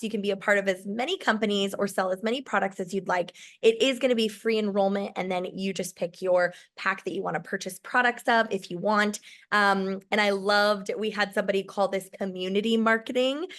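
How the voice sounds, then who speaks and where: A woman giving an upbeat, polished sales pitch for a new company. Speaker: company presenter. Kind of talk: promotional live presentation.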